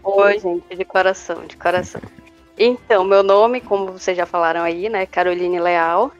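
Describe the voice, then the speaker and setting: A woman's voice: on the low side, fairly even intonation, normal speed. Woman from on a dull connection. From northern Brazil. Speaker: podcast supporter guest. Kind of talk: casual chat. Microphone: remote online call. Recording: dull lo-fi call audio, faint music.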